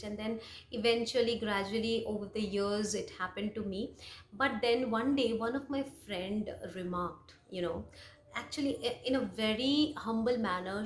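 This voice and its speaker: Reflective Indian woman in a roomy space. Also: mid-range voice. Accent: Indian English. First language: Bengali. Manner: reflective, frequent fillers and self-corrections. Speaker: language vlogger. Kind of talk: personal storytelling. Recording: roomy with faint ambient noise.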